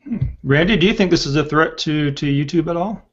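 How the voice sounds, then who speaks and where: A man's voice: a little high, fairly quick, fairly bright tone. An older man moderating a panel show over a video call. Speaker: host and moderator. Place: indoor room on a video call. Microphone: video call, earpiece worn. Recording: dull, lo-fi video call audio.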